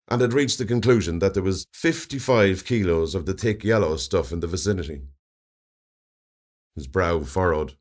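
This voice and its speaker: An Irish man narrates a comic short story. His voice sits fairly low, but the intonation swings widely as he reads. The delivery is scripted, expressive and wry. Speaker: story reader.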